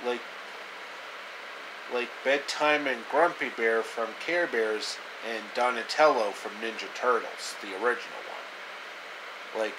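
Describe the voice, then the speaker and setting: A man in his thirties tells a story in a casual, improvised way. His pace is slow and halting, with repeated phrases, and his pitch sits in the middle. An air conditioner hums clearly behind him on a handheld-distance mic. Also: background noise. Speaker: amateur storyteller. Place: small indoor room, reclining.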